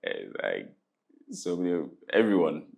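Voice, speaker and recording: A man in his thirties from Ghana, telling his life story in a relaxed interview with many pauses and fillers. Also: sits low, vocal fry. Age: 30s. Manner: hesitant, many fillers, laughs often. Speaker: interview guest.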